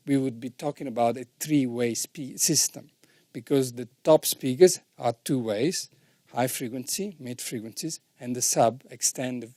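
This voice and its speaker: A man's voice: mid-range voice, warm tone, dry recording, studio quality. A man giving an informal technical lesson as an instructor, explaining step by step and often checking that the listener follows. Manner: didactic, frequently checks understanding. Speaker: sound engineering instructor.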